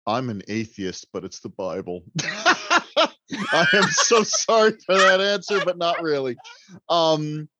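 A man's voice: on the high side, big pitch swings, slow pace, heavy background noise. A man guesting on a podcast, bantering casually in a playful, wordy, mock-apologetic manner. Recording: dull, band-limited audio.

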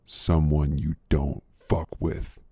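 A man reading a story, voicing a calm, threatening male enforcer who speaks slowly. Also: husky, no echo, studio quality, full character voice. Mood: menacing. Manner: slow, low, threatening.

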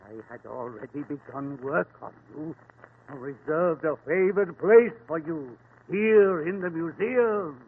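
A man's voice: a little high, a little slow, husky. An actor playing an old male wax sculptor who has turned murderer, proud and self-pitying, in 1940s radio acting. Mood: menacing. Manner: grandiose, self-pitying, theatrical. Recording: tinny old radio broadcast.

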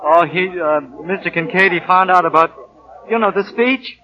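High, sharp-toned timid clerk. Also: male voice, moderate pace, muffled recording, slight background noise. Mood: dejected, bitter. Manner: stammering, hesitant, anxious. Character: timid, stammering, self-pitying male office clerk and husband. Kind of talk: 1940s radio acting.

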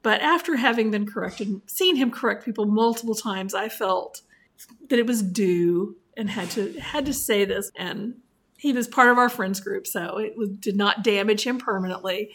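A woman's voice: medium pitch, normal speed. A woman co-hosting a library podcast, telling stories in casual chat. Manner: storytelling, animated when recounting.

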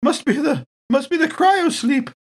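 A woman's voice: high-pitched, expressive, unhurried. A woman voicing a genteel, chatty and slightly vague lady just out of cryo sleep in a tabletop sci-fi game. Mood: airy, politely puzzled. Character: polite, airy, unhurried woman newly woken from cryo sleep.

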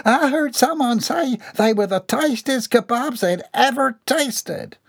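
A reader voicing Bobby Sue, an indignant servant woman who wails and shrieks in a high, drawn-out voice. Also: lively intonation, creaky, dry recording, studio quality, heavily acted. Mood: eager.

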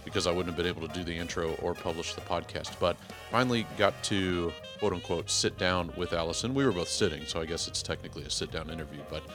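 A man in his forties hosting a podcast, giving a rambling, self-deprecating intro with emphatic, clearly enunciated speech. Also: sits low, quick pace, music in the background. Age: 40s. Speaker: podcast host. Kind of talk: casual podcast intro monologue.

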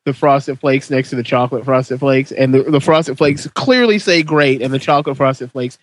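A man hosting a podcast on a call, with a high-pitched voice, swearing and joking in casual chat. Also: normal speed, dry recording. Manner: profane, joking banter. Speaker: podcast host.

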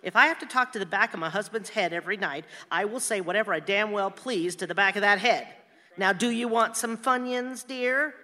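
An actress playing an exasperated, sharp-tongued older wife who teases and nags sardonically in a radio play. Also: female voice, mid-range voice, brisk, long reverb tail, lightly acted. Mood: exasperated, nagging. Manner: sardonic, nagging, outspoken.

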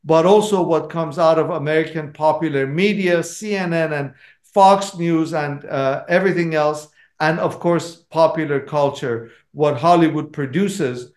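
A man's voice: fairly high pitch, unhurried, a little mellow, reverberant. A man with an Iranian accent, an author answering audience questions at a book talk, speaking emphatically with frequent fillers and restarts.

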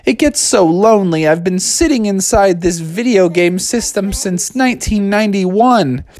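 A man voicing a cocky, excitable hedgehog hero in a high, loud voice. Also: normal speed. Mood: friendly. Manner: excitable, shouty.

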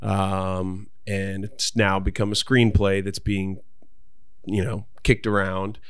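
A man's voice: deep voice, flat delivery, takes it slowly, smooth, no room sound, studio quality. A man hosting a podcast, chatting casually and rambling as he searches for words. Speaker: podcast host.

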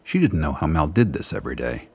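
A man's voice: rumbling low, very animated, fast, a bit bright, husky. A man reading scripted third-person narration for a radio play.

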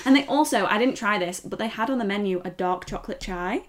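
A woman's voice: mid-range voice, quick pace. A young British woman co-hosting a podcast in casual, effusive, enthusiastic chat. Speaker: podcast co-host.

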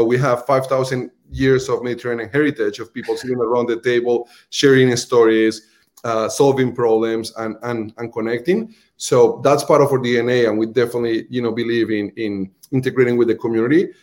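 A man's voice: medium pitch, fairly even intonation, a little fast, a little mellow. A man with a Colombian accent, a restaurant marketing executive, explaining earnestly as an interview guest, with frequent fillers and repeated words.

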